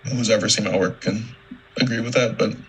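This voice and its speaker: A young man with a fairly low voice talks casually about his work. His delivery is halting, modest and informal. He is on a video call with faint noise behind him. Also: fairly even intonation, a bit bright, low-quality recording. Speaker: artist presenting work. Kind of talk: casual chat.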